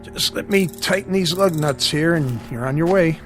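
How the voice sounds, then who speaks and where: A folksy male mechanic played by an actor with a slightly high voice, soft music behind him. He talks in a laconic, easygoing, reassuring way in a radio play. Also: normal speed, lightly acted. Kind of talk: radio drama dialogue.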